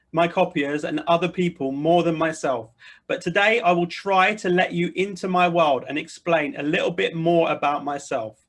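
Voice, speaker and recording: A man's voice: fairly high pitch, fairly bright tone. A middle-aged man with a British accent, earnestly introducing himself and speaking directly to his listeners as a video host. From United Kingdom. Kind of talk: personal introduction to camera, semi-scripted.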